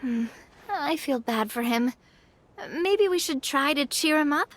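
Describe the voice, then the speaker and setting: A woman voicing a kind, good-natured young heroine in an animated video game. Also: sits high, measured pace. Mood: sympathetic.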